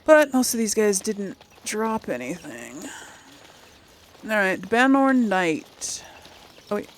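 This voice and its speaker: A woman's voice: mid-range voice, sing-song, slow pace. A woman giving casual let's play commentary, thinking aloud as she goes. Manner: thinking aloud, reading quest text aloud.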